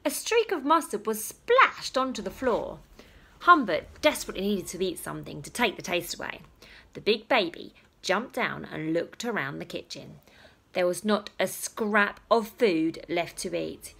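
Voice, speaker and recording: A woman reading a children's story aloud, with dramatic pauses and drawn-out emphasis. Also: mid-range voice, expressive, creaky, a bit bright, husky. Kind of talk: animated children's story narration.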